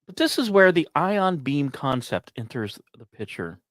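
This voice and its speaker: A man's voice: on the high side, big pitch swings, moderate pace, no echo, studio quality. A man hosting a podcast, reading scripted science commentary with emphatic, dramatic phrasing and informal asides. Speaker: podcast host.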